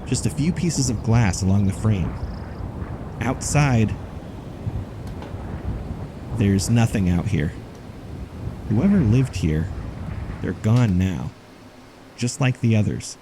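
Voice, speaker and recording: Amateur investigator, horror audio drama: earnest, low gravelly voice on a noisy field recording. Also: male voice, lively intonation, slow pace, long pauses. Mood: urgent, bewildered. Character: earnest, obsessed male amateur investigator podcaster.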